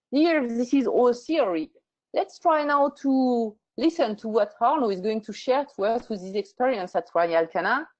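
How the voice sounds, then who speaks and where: A woman hosting a webinar, reading a measured presentation. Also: fairly high pitch. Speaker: webinar host. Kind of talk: scripted presentation. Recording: muffled lo-fi webinar audio.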